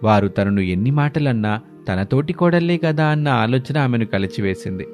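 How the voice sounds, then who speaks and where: A man's voice: mid-range voice, animated, normal speed, darkish tone, soft background music. A man narrating a scripted moral story as the storyteller.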